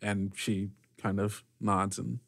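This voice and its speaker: A man narrating a tabletop roleplaying game as game master, improvising in short, halting phrases. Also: lowish voice, level tone, creaky, a bit bright, dry recording, clean studio sound.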